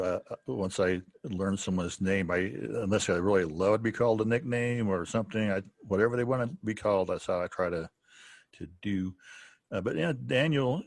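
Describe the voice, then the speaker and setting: A man co-hosting a podcast interview in a casual, halting, chatty way, often chuckling. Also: fairly low pitch, no room sound. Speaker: podcast co-host.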